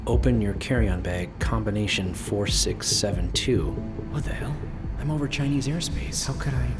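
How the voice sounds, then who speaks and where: A man's voice: moderate pace, close to an everyday voice. A man playing a bewildered, frightened male plane passenger who mutters tensely and swears, in an audio drama. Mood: bewildered, uneasy. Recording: loud music bed, engine rumble.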